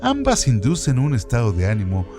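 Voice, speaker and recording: A man reading scripted literary radio narration in a formal, measured way. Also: mid-range voice, wildly swooping pitch, over music.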